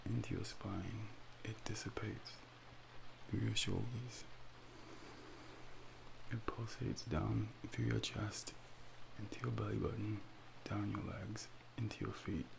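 Young male for ASMR sleep hypnosis: soothing Devil, breathy half-whisper, heavy vocal fry, slow deliberate delivery, close-miked over faint ambient noise. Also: lowish voice. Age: young adult. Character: calm, soothing Devil guiding a soul into sleep. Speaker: ASMR role-play performer. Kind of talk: ASMR soft speaking, guided sleep hypnosis. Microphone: close binaural microphone.

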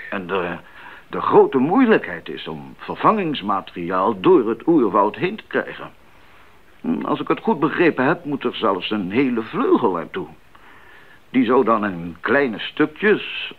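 Casting: older male missionary doctor, genial mentor, animated intonation, radio play, muffled recording. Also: mid-range voice, slightly rough, exaggerated voice. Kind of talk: 1980s radio drama acting. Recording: muffled band-limited 1980s radio recording.

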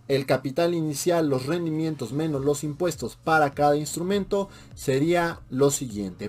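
A young man with a Mexican accent, a personal finance video host, briskly walking through investment sums from a small room, with playful asides. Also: a little high, a little mellow. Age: young adult. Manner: brisk and upbeat, reads out numbers with playful asides. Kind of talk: explainer vlog walking through calculations. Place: small bedroom with shelves, indoors.

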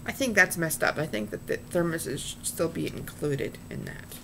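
Woman in her 30s doing a haul vlog, low gravelly voice, slow and rambly, webcam mic. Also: a little hiss or hum. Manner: chatty, rambling, lots of ums and restarts. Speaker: vlogger showing purchases. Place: small room indoors. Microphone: webcam at desk.

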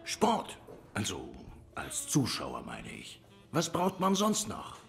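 A bored dog policeman from a noir detective game. His pitch leaps about constantly as he rambles, insistent and self-righteous about his tidy life. Faint music plays behind him. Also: huge pitch swings, slow pace, creaky, fairly bright tone, full character voice. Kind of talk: video game voice acting, film noir.

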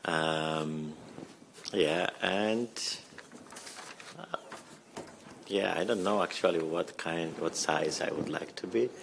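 A man's voice: low-pitched, slow, creaky, pauses often, a bit bright, a little hiss or hum. A man in his thirties with a Polish accent, a scientist introducing himself hesitantly on a conference panel, speaking into a table microphone. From Poland. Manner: hesitant, many fillers. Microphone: table mic on stand.

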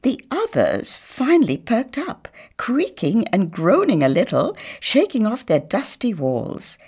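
A woman in her sixties with a Trinidadian accent, reading a children's story in a deliberate, theatrical manner. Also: fairly high pitch, huge pitch swings, darkish tone, slightly rough.

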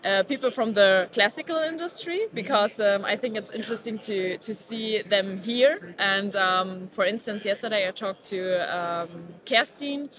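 A woman in her thirties, a startup co-founder, answering interview questions in a halting way, with many fillers and restarts. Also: mid-range voice, fairly bright tone. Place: busy indoor conference venue. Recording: conference crowd chatter behind.

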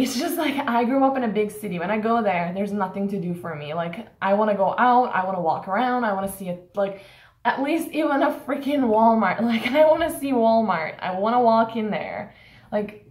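A woman's voice: medium pitch, reverberant. A young woman from Turkey, a college student vlogging, talks frankly and full of fillers while complaining. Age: young adult. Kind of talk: casual vlog rant. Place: small room indoors.

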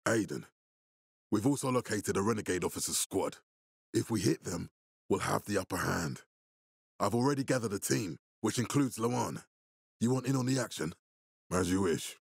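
A stern commander in a post-apocalyptic video game. His low voice is husky and gravelly, and he speaks formally, with deliberate authority. The sound is close and dry. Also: expressive, dry recording, exaggerated voice. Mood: commanding. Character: stern, commanding male military leader in a post-apocalyptic city. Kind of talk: video game character dialogue.

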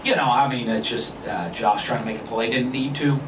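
A man in his forties, a football coach answering postgame questions at a press conference, speaking in a measured way with many fillers and restarts. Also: medium pitch. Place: press room with backdrop. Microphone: podium mic, filmed from a distance. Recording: echoey room, far from the camera, background noise.

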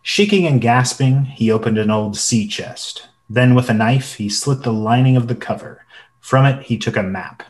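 A man's voice: mid-range voice, normal speed, natural voice. An actor playing a grown man who narrates his boyhood adventure in earnest, literary storytelling. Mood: earnest, reflective. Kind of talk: scripted dramatic narration.

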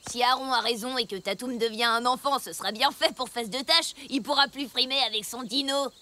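A woman's voice: fairly high pitch, a little fast, bright, forceful tone. Woman voicing a sneering bully boy. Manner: taunting, sneering. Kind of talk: cartoon dub acting.

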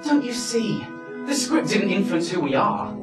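A man's voice: sits high, sing-song, unhurried. A young man acting an earnest, defiant character in love in a stage play. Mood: calm, reassuring. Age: young adult. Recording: echoey theatre stage, distant camera, music.

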